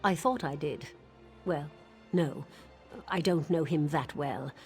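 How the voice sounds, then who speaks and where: A woman's voice: sits low, slow pace. An AI-voiced woman playing a suspicious, bitter widow in game dialogue, with faint music behind. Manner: guarded, sharp-edged, questioning.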